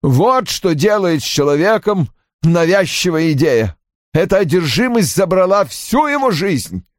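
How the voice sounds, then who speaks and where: Man acting older father, high strained pitch, bitter, snappish, defensive, animated, dry studio audiobook. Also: unhurried, no room sound. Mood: agitated. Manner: emphatic, cold, snappish.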